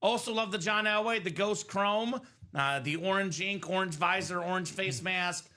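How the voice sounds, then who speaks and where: Bright-voiced male card break host. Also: high-pitched, normal speed. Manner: upbeat, salesy running commentary. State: voice a bit worn from singing. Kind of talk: live stream selling chat.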